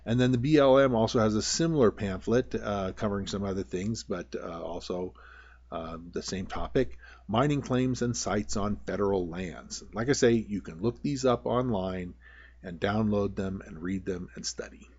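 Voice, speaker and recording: Low-voiced man narrating an informal tutorial, muffled sound. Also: normal speed, a little mellow. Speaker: prospector giving a tutorial. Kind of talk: informal narration over slides. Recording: dull, lo-fi voice-over.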